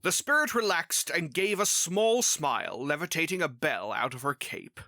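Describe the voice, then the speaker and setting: Animated storybook narrator of ambiguous pitch. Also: moderate pace, no echo, clean studio sound.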